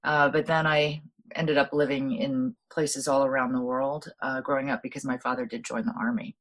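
A middle-aged woman from Hawaii, a guest in an interview, explaining her background thoughtfully with many pauses and restarts. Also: rumbling low, little pitch movement, low-quality recording. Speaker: guest, food policy founder. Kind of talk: interview storytelling.

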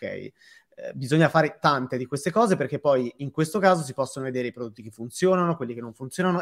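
A man hosting a film talk stream in casual chat, digressing and thinking aloud. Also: a little high.

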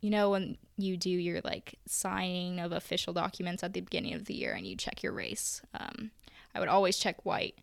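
Young American woman, very creaky voice. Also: fairly low pitch, lively intonation, normal speed, no echo. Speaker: podcast guest. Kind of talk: casual personal recollection.

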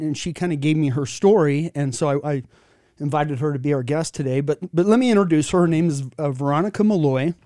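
Mellow-voiced enthusiastic male host. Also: fairly high pitch, dry recording, studio quality. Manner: enthusiastic, rambling, with many restarts. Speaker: podcast host. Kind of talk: casual chat.

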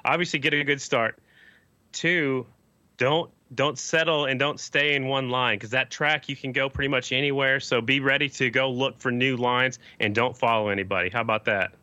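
A man's voice: mid-range voice, fairly even intonation, normal speed, pushed and bright, dry recording. A man hosting a podcast, asking questions in a friendly, chatty way. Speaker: podcast host. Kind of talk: casual interview.

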